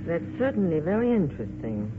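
An actress playing a poised, gracious film star, polite and composed, in a 1950s radio drama. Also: female voice, lowish voice, very animated, a little fast. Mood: cool, bemused. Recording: very noisy, tinny 1950s radio broadcast.

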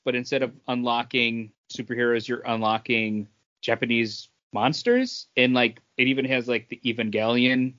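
A man co-hosting a casual gaming chat, talking informally and a bit haltingly in loose, wandering sentences. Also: mid-range voice, fairly even intonation, a bit bright, highs cut off. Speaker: podcast co-host.

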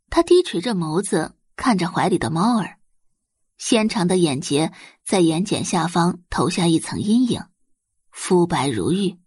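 A woman's voice: medium pitch, darkish tone, slightly rough, no room sound, clean studio sound. A woman narrating a scripted dramatic audiobook story.

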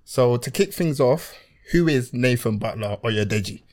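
A man's voice: medium pitch, animated, moderate pace, darkish tone. A man with a London accent, hosting a casual podcast interview in jokey, slangy talk. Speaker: podcast host. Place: podcast studio.

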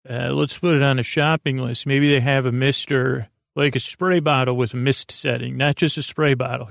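A man with a creaky voice hosting a sleep podcast, telling meandering stories in a halting, digressive, self-deprecating way. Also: mid-range voice, no room sound, clean studio sound. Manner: halting, digressive, full of false starts and trailing tangents; self-deprecating, gently absurd musing. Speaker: podcast host.

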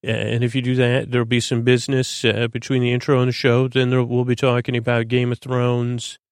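A man hosting a bedtime podcast in a creaky, lulling voice, droning along in a halting, stammering, meandering monologue meant to help listeners sleep. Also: medium pitch, completely flat delivery, no room sound, studio quality. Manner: halting, stammering, repeats and plays with words. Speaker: podcast host.

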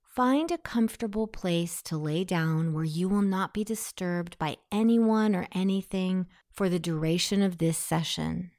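A woman leading a guided self-hypnosis meditation in a soothing, gentle, measured way. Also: lowish voice, no room sound, studio quality.

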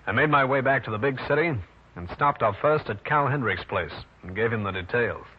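An actor with an American accent playing a wry, tough private detective in an old-time radio drama, wisecracking and hard-boiled. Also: male voice, medium pitch, lively intonation, brisk, husky. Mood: wry, narrating. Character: wry tough New York private detective, adult man. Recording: dull, noisy old radio recording.

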